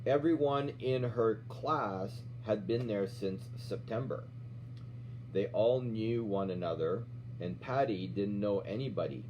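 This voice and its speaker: A middle-aged man reading a children's storybook aloud as an instructor, pausing to explain and check in with questions. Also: on the low side, darkish tone. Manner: checks in with questions, often ending "right?". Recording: dull lo-fi sound with faint hum.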